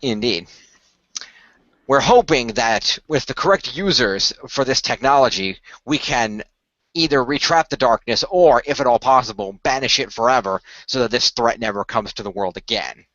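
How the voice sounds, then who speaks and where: A man playing an earnest male leader who recruits heroes in a radio play, explaining things in a halting, deliberate way. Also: medium pitch, animated, fairly bright tone, no room sound, lo-fi sound, lightly acted. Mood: earnest, persuasive. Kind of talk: improvised radio play dialogue.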